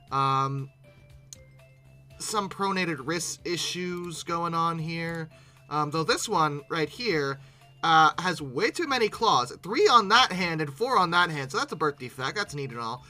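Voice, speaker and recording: A YouTube host giving casual, animated reaction commentary with exasperated asides. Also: medium pitch, a little slow, soft background music.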